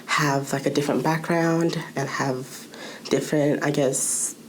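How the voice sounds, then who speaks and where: A woman's voice: low voice, fairly even intonation, measured pace, poor audio. A young woman from Malaysia giving a casual personal account, hesitantly searching for her words. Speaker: youth programme participant.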